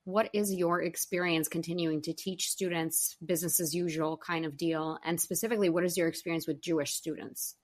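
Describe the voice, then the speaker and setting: A young adult woman hosting a podcast interview, asking articulate, measured questions. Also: on the low side, fairly even intonation. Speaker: podcast host.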